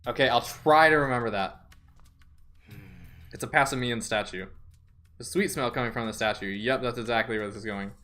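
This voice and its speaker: A man's voice: medium pitch, brisk, long pauses, sharp tone. A young man streaming a video game, chatting casually, reading game text aloud and tripping over names. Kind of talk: casual let's-play chat.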